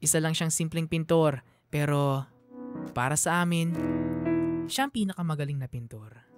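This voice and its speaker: Low-voiced woman narrating over piano music. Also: moderate pace. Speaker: radio DJ and storyteller. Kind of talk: scripted sentimental story narration for radio. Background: piano music under the voice.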